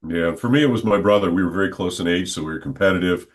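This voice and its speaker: A man in his sixties, a guest on a podcast, telling stories from his childhood in an unhurried, conversational way. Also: sits low. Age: 60s. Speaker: interview guest, stadium announcer. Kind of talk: relaxed reminiscing conversation.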